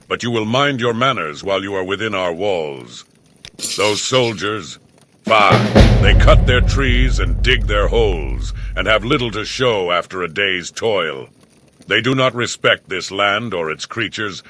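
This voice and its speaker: A man playing a stern, proud Nordic village chieftain in a video game, speaking in a gruff, formal and measured way with guarded disdain. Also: on the low side, a bit bright. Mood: guarded, warning. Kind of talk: scripted video game character dialogue.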